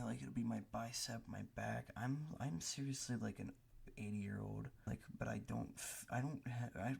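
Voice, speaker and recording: A man doing soft, half-whispered ASMR close to the microphone, rambling in a hesitant, self-deprecating way with a breathy, gravelly voice. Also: mid-range voice. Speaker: ASMR creator. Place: bedroom at a desk.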